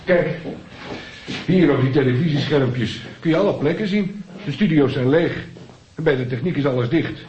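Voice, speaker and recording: An actor in a radio play, playing a practical, plain-spoken night security guard with a casual, mildly sympathetic manner. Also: male voice, fairly high pitch, moderate pace, darkish tone, close to an everyday voice. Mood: matter-of-fact. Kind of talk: naturalistic radio drama acting. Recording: roomy, dull, faintly noisy recording.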